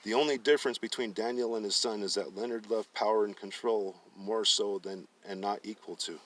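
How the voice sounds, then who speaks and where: A man's voice: on the low side, fairly even intonation, brisk, a bit bright, far from the mic, low-quality recording. A man narrating fiction aloud as a storyteller, often stumbling and rereading phrases. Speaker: storyteller reading a book aloud.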